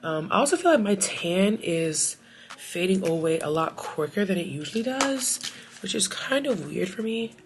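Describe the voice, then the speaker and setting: A woman's voice: mid-range voice, animated, moderate pace, music in the background. An American woman with a cold chatting casually and playfully in slang, with self-deprecating asides, like a beauty vlogger getting ready. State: has a cold. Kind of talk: casual get-ready-with-me chat.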